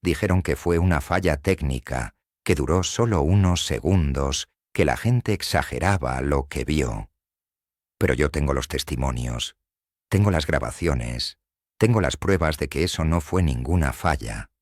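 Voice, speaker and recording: A man's voice: rumbling low, lively intonation, measured pace, dry recording, clean studio sound. A man with a Mexican accent narrating a scripted horror story in a grave, suspenseful manner.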